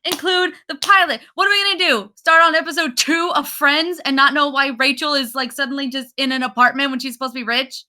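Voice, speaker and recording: A woman panelist gives her opinions in casual, bantering talk. Her voice sits high and has a very bright, forceful edge. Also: fairly even intonation, fairly quick, hardly any pauses. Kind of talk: casual chat.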